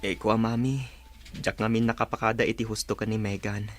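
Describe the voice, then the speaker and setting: A man's voice: fairly low pitch, flat delivery, a little fast, faint music behind, close to an everyday voice. A man with an Ilocano accent playing a remorseful, polite young father and son in a drama. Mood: downcast. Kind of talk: Ilocano comedy drama voice acting.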